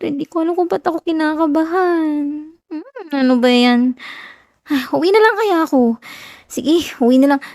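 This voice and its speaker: Casting: woman to play shy new girlfriend, Filipino accent, ASMR roleplay. Soft, warm tone, unhurried delivery, intimate and playfully flustered. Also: on the high side, dry recording, clean studio sound. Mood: flustered, whiny. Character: shy, flustered young woman teasing her new boyfriend. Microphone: close, dry ASMR microphone.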